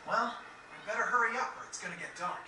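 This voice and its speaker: Woman acting a brisk youngster, very echoey. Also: on the high side, natural voice. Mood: curious, musing. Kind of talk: video game cutscene voice acting. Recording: very echoey, distant, noisy game capture.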